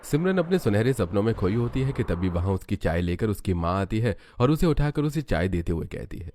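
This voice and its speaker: Low-voiced man narrating a cartoon story. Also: big pitch swings, a little fast, darkish tone, a little hiss or hum.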